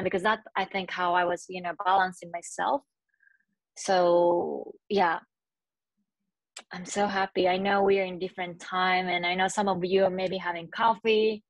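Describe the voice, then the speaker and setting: A Japanese woman in her thirties on a video call, speaking English as a second language with many restarts and searching pauses. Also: mid-range voice, no echo, poor audio. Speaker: panelist. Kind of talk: panel discussion over video call. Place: small room indoors. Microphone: earbuds on a video call.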